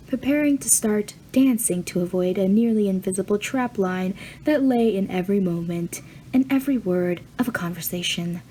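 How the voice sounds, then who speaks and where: A woman narrating fan fiction as an audiobook reader in a gentle, even storytelling manner. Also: medium pitch, a little hiss or hum, rough recording. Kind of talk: reading fan fiction aloud.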